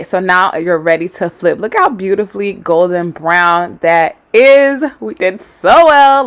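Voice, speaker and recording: Upbeat, chatty woman with a lowish voice. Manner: chatty and upbeat, laughs often; casual, folksy slang. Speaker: cooking show host. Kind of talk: recipe voice-over narration.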